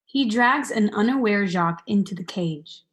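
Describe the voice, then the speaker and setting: Young woman narrator, medium pitch. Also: lively intonation, normal speed. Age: young adult. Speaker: narrator reading stage directions. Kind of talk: scripted radio play narration.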